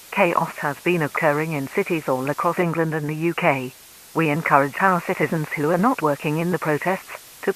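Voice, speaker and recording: Male announcer, fairly high pitch, for scripted urgent public warnings on an emergency broadcast. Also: fairly bright tone, rough recording.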